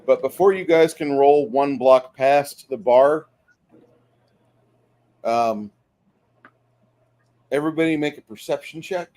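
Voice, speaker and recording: Man, game master, mid-range voice, improvised tabletop narration, halting descriptive delivery. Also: mid-range voice, dark tone.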